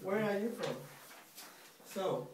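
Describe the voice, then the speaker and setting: Young female teacher, slow, patient and clear with beginners; distant mic in a reverberant classroom. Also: medium pitch, expressive, darkish tone. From Spain. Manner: slow, patient, repeats questions for students. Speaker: English teacher. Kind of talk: beginner English lesson. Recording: echoey room, far from mic, faint hiss.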